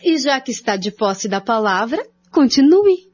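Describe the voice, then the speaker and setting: Actress as an earnest, slightly husky Brazilian researcher woman. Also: on the high side, sing-song, unhurried. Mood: earnest, formal. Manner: formal, expository. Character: earnest, scholarly female researcher. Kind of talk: scripted radio drama, read formally. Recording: dull lo-fi audio.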